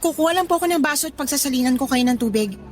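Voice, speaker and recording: A high, youthful female voice plays a kind student in a radio drama. She is gentle and deferential to her elders, with faint music underneath. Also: lively intonation, rapid-fire. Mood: kind, eager. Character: kind, respectful young college student daughter, working at an eatery. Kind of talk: Tagalog radio drama acting.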